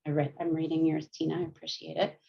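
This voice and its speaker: A woman counselor leading a group through guided mindfulness and gentle yoga, speaking calmly with frequent light laughs, heard over a video call. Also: deep voice, quick pace, gravelly. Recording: muffled lo-fi video call audio.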